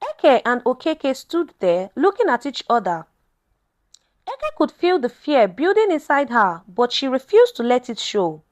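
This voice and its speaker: A woman narrating a scripted folktale in a dramatic, measured storytelling manner. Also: high-pitched, wildly swooping pitch.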